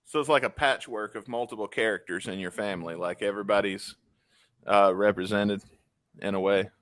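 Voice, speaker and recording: A man's voice: fairly low pitch, fairly even intonation, a bit bright, dry recording. A man from Mississippi with a Southern accent, hosting a podcast interview in a chatty, laughing manner. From Tupelo, Mississippi. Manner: chatty, laughs often, drops into quick impressions. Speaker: podcast host. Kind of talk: casual interview chat.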